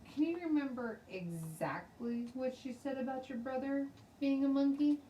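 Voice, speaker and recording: A woman voicing a patient male school principal, gently explaining in a measured way in a children's storybook read-aloud. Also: high-pitched, voice pushed up, natural voice. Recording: roomy, distant mic, faint noise.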